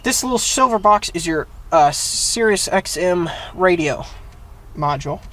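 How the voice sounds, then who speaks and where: A man's voice: high-pitched, lively intonation, unhurried, a bit bright. A man hosting a how-to video, talking through a hands-on job in a casual, plain-spoken, explanatory way. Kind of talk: casual hands-on DIY tutorial.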